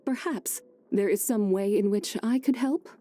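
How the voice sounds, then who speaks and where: Dark, mellow-voiced serene ancient immortal played by a woman. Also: medium pitch, close to an everyday voice. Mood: solemn. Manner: formal, measured, genteel old-fashioned phrasing. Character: serene, courteous ancient female adeptus, wise and wistful. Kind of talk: scripted game character voice lines.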